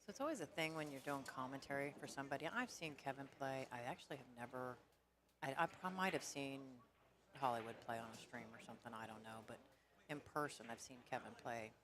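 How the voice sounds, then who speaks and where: A woman with a low alto voice commentating on a pool match in a casual, rambling way that laughs easily. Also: quick pace, background noise. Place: pool hall.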